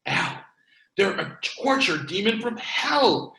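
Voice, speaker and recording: Echoey, high-voiced man acting a bossy store clerk. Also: sing-song, slow, pushed and bright, full character voice. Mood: urgent. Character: pragmatic, bossy male video store clerk. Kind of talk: comedy script table read. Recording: echoey room.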